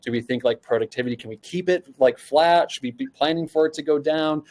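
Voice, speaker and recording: A man's voice: on the high side, fairly quick, a little mellow. A man speaking as an expert guest in a casual webinar discussion, informal and conversational, often posing questions as he thinks. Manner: informal, conversational, poses rhetorical questions, frequent "like". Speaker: guest panelist, customer support expert at a software company.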